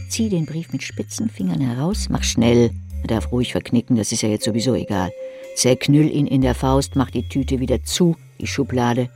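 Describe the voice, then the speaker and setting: Casting: male literary narrator, dark gravelly tone, terse second-person delivery. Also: mid-range voice.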